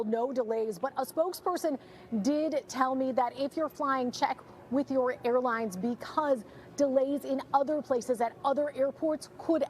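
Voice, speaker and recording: Higher-pitched American woman reporting TV news. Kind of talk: live broadcast news report, scripted narration.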